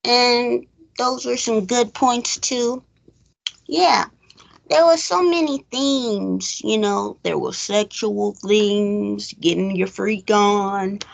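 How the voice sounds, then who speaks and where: A woman's voice: mid-range voice, slow, creaky. A woman co-hosting a movie recap show, chatting casually and rambling as she retells and acts out bits of dialogue.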